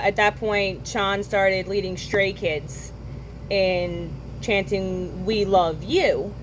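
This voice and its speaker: A young woman hosts a podcast in an easy, casual chat. Her voice is hoarse and worn from singing at a concert, and her pitch sits in a middle range. She keeps tossing in quick asides and corrects herself mid-thought. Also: slight background noise, rough recording. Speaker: podcast host.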